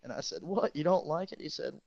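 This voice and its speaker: A teenage guy with a creaky, fry-heavy voice tells rambling stories in a crude, joking way. He talks casually, like he is chatting with a friend. The sound is dull and lo-fi, from a dry headset mic. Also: fairly high pitch, fairly quick, a little mellow, dry recording. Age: teenager, high schooler. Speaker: high school football player telling stories. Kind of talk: casual anecdote telling among friends.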